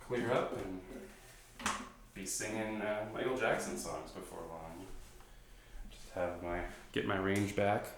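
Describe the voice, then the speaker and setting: Stuffy-nosed male musician, quiet rambling chat between songs, echoey home room. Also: lowish voice, steady tone, slow, long pauses, slight background noise. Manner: rambling, self-deprecating, soft-spoken. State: stuffy nose. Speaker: singer-songwriter performing live. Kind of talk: casual between-song chat. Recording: roomy echo.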